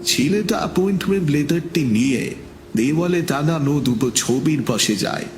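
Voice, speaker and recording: A man narrating a scripted first-person story in a measured, dramatic storytelling manner. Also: fairly high pitch, lively intonation, soft background music.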